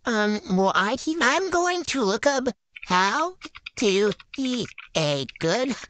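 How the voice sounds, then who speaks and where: A woman's voice: on the high side, big pitch swings, slow, fairly bright tone, a little hiss or hum. A woman voicing characters in a game video with playful improvised banter, giggly and boastful, full of teen slang. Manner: giggly, silly, bragging, talks in teen slang.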